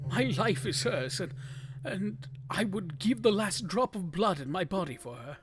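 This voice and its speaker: A man plays a young gentleman in love for an audio drama. The voice is a little high, breathless and earnest, full of anxious devotion. Guitar music plays clearly behind it. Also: lively intonation, unhurried. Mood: shaken, earnest.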